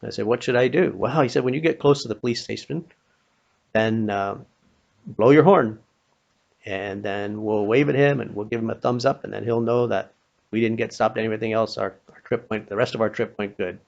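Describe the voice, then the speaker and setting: Creaky-voiced man telling a story. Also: lowish voice, a little fast. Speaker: storyteller, pastor. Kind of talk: informal spoken storytelling with acted-out dialogue. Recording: dull, muffled lo-fi recording.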